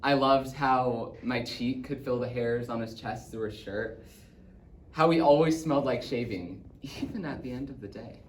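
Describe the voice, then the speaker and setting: A man's voice: mid-range voice, moderate pace, close to an everyday voice. Man acting as an earnest young boy, echoey and distant. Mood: fond. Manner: earnest, theatrical, swinging from excited to hushed. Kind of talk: dramatic monologue in speech competition style. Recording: distant echoey room, faint noise.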